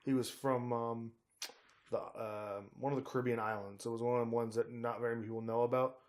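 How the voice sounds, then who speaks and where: A man's voice: mid-range voice, flat delivery, fairly quick. A man co-hosting a casual true-crime podcast, talking conversationally and often groping for words and names. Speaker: podcast co-host.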